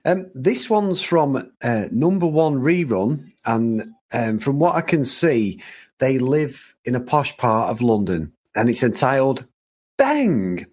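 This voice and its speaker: An easygoing male podcast co-host who hesitates with ums. His pitch bounces around a lot, and his voice is a little husky. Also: big pitch swings, slow pace, a little mellow. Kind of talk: casual podcast chat.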